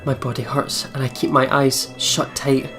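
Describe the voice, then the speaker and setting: A male gamer with a medium-pitched voice reads horror game narration aloud. He tells it dramatically, with clear music behind him. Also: level tone, moderate pace. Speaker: let's play host. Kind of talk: reading visual novel narration aloud with casual reactions.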